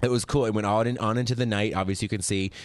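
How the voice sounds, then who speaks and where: A man in his late thirties from Utah hosting a chat show, telling stories in a chatty, joking way with many restarts, his voice lower than usual today. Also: fairly even intonation, racing, no echo. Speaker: podcast host. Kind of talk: casual chat.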